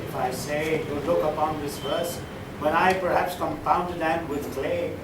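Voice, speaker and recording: Guy reciting poems slowly and dramatically, a bit high voiced, far from the mic. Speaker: poetry reader. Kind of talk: dramatic reading of sonnets with brief remarks. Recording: echoey room, distant mic, noisy background.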